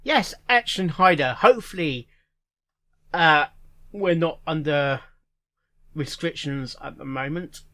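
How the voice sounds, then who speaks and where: A man giving casual reaction commentary in a halting way, repeating phrases and musing aloud in questions. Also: a little high, bright, forceful tone. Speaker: reaction video host.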